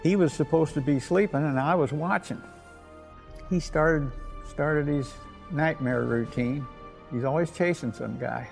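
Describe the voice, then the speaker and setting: An elderly man with an American accent, a war veteran telling his memories in a plain-spoken, halting way. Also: fairly high pitch, vocal fry, a little mellow, soft background music. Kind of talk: unscripted reminiscence.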